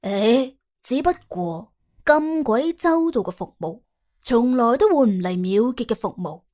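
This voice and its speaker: A woman's voice: fairly high pitch, animated, unhurried, darkish tone. A woman with a Cantonese accent narrating an audiobook dramatically, in a wry, colloquial, exclamatory way. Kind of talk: dramatic scripted storytelling.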